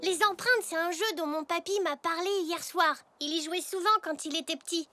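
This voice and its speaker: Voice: very high-pitched, steady tone, brisk. A performer voicing an eager, inventive little boy zebra in a children's cartoon, with a playful, explaining manner. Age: child character. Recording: music behind the voice.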